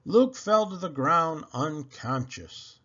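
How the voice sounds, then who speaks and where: A man's voice: fairly high pitch, lively intonation, slow pace, a bit bright. Man reading a storybook, dull lo-fi sound. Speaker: storybook reader. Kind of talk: scripted read-aloud storytelling.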